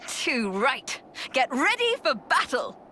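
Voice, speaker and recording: An actress playing a playful, battle-hungry commander in a video game, with theatrical, teasing, jaunty delivery. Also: female voice, high-pitched, sing-song, takes it slowly, bright, forceful tone, a little hiss or hum, full character voice. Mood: breezy, eager. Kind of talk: video game cutscene acting.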